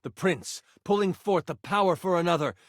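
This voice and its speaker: An actor playing a warm-hearted dungeon master in a video game, narrating fantasy adventures theatrically with dramatic pauses. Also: male voice, sits high, no room sound, clean studio sound, lightly acted. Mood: dramatic, suspenseful.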